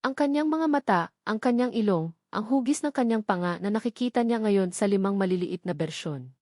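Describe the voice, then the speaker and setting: A woman's voice: mid-range voice, animated, brisk, darkish tone, no echo. Woman narrating drama, very dry recording. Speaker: storyteller. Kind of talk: dramatic scripted story narration.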